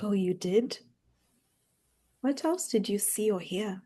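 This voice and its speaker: A woman's voice: medium pitch, slow, dark tone. An actress playing an anxious, stern mother from a Kenyan village in a staged drama reading, asking pointed questions. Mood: sardonic, suspicious. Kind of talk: staged script read of a drama.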